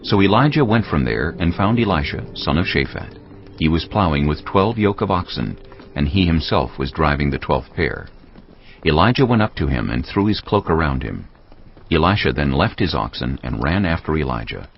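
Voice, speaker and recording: An extremely deep-voiced man narrates scripture with a gravelly creak and wide, expressive intonation. The recording is slightly dull and has music underneath. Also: normal speed, slight background noise. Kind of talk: scripted dramatised Bible narration. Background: background music.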